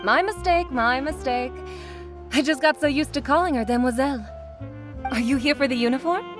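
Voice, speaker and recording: A woman's voice: high-pitched, normal speed, natural voice. A woman voicing a polite, gracious female shopkeeper in a video game. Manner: polite, deferential. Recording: game audio with clear music.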